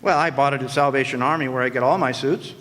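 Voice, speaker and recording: A man's voice: medium pitch, fairly even intonation, fast talker, a bit bright, natural voice. Man acting a sardonic hard-boiled detective, echoey hall. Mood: brisk, flippant. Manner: sardonic, slangy wisecracks. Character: wisecracking, world-weary hard-boiled male private detective. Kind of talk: 1940s radio noir acting, first-person narration. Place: live stage performance in a hall. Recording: echoey hall, background noise.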